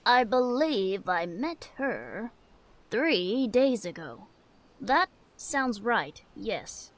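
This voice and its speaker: A woman's voice: on the high side, very animated, very slow, full character voice. A woman playing an older female halfling merchant in a fantasy audio drama, musing and hesitant as she trades.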